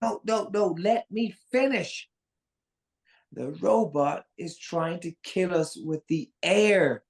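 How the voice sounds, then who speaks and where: An actress in a dramatic table read playing a panicked, incredulous person, stammering and exasperated. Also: female voice, on the low side, takes it slowly, a little mellow. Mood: frantic, exasperated. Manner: stammering, exasperated, foul-mouthed.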